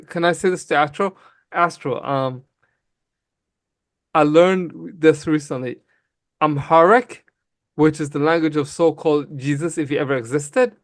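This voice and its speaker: Somali-native man, raised in Canada, heated debater, somewhat high voice, dry close sound. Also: a bit bright, dry recording. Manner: emphatic, fast, calls people bro. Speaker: guest on a livestream debate. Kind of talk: heated casual argument.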